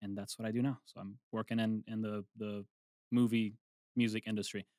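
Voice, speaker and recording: Relaxed young man, chatty and rambling. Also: lowish voice, brisk, no echo, clean studio sound. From Brazil. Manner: relaxed, rambling with restarts and asides. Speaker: guest, film composer. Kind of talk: casual interview chat.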